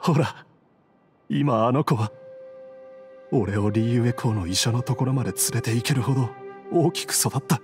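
An actor playing a gentle, dying father in a Japanese video game, speaking in a solemn, wistful, halting way. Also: medium pitch, soft background music, natural voice. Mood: pleading, resigned. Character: dying gentle father, weary, devoted to his family.

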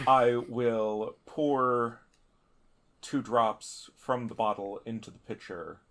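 A man narrating a tabletop game as a player, improvising in halting, deliberate phrases. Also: medium pitch, a bit bright.

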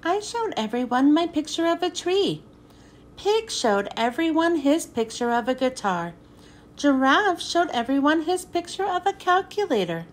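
A woman reading a children's picture book aloud in an expressive storytelling manner, then thanking her listeners. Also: high voice, a little slow.

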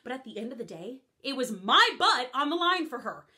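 A high, bright-voiced fairy godmother who gossips and grumbles about going unthanked, as a woman acts her out. Her pitch leaps around as she complains. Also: very animated, fairly quick, full character voice. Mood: aggrieved. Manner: chatty, complaining, indignant. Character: grumbling middle-aged female fairy godmother, put-upon. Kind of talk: comic monologue.

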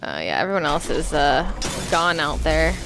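A woman's voice: deep voice, sing-song, moderate pace, vocal fry, low-quality recording. A young woman hosting a reaction video with casual, conversational commentary. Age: young adult. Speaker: reaction show host. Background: game music.

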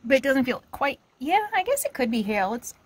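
A woman's voice: high-pitched, big pitch swings, brisk. Chatty woman vlogging outdoors on a phone. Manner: chatty, exclaiming, talks sweetly to her dog. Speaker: RV travel vlogger. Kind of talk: casual vlog chat to camera and dog. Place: outdoors in windy high desert and inside an RV. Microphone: phone camera at arm's length.

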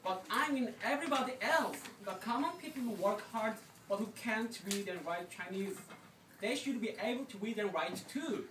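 A woman's voice: mid-range voice, expressive, normal speed, natural voice. A woman playing a kind, thoughtful king who teaches eagerly in an amateur puppet show. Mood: earnest, concerned. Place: classroom, performers behind a draped table. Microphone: distant camera. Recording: noisy, echoey classroom from far off.

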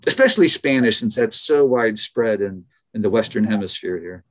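A middle-aged man, a biologist, talking casually as an interview guest over a video call from his office, relaxed and laughing often. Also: on the low side, brisk. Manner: relaxed, chatty, laughs often, some restarts. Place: office with bookshelf. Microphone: video call webcam.

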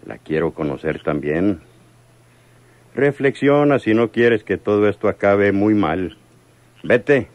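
A man's voice: fairly low pitch, lively intonation, darkish tone, no room sound. A man playing a tyrannical, sinister city villain in an old radio drama. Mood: threatening. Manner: imperious, slow. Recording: slightly noisy old radio serial.

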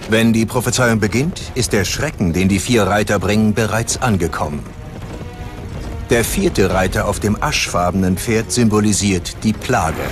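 A man's voice: lowish voice, moderate pace. A man reading scripted documentary narration as the narrator. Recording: music behind the voice.

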